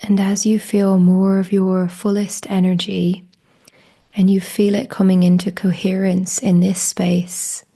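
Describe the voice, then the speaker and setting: Hushed, husky woman guiding a meditation. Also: medium pitch, level tone, measured pace. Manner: hushed, breathy, often near a whisper. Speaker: meditation guide. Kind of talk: guided meditation, slow spoken invitations.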